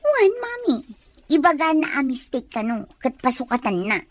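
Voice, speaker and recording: A woman plays a young female office worker in a radio drama. Her voice is high-pitched. She sounds hardworking and put-upon, airing her complaints with weary feeling. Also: big pitch swings, moderate pace. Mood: weary complaining. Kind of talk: Ilocano radio drama acting.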